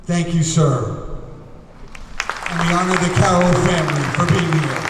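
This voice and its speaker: High-voiced man at a podium, echoey hall. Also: far from the mic, a loud crowd, heavy background noise. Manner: formal, measured reading with occasional hesitations. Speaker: ceremony emcee. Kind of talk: ceremony speech, reading biographies aloud. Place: large museum hall. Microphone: podium microphone.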